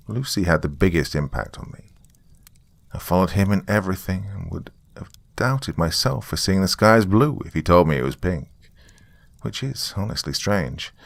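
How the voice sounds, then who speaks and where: A man narrating a scripted first-person story in a measured, confiding way. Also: deep voice, vocal fry, fairly bright tone, no echo.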